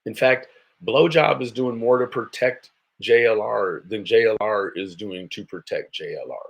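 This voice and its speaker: Low-voiced American man, blunt commentary. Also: normal speed, gravelly. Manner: blunt, swearing, mocking. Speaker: host of a livestream commentary show. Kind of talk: casual live reaction commentary.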